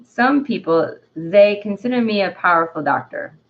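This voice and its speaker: A woman voicing an exuberant, self-loving talking dandelion with a put-on French accent, reading theatrically and a little haltingly, as in a storybook. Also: mid-range voice, expressive, highs cut off, close to an everyday voice. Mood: proud, playful. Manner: theatrical, halting, often tagging phrases with "eh". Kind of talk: dramatic storybook-style reading.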